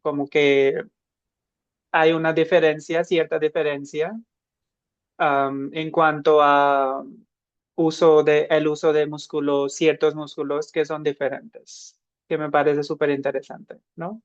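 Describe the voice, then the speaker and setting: Male co-host, monotone, halting with fillers, slightly higher voice, dull dry lo-fi sound. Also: dry recording. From India. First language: an Indian language. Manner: thoughtful, many fillers and restarts. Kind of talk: casual podcast chat.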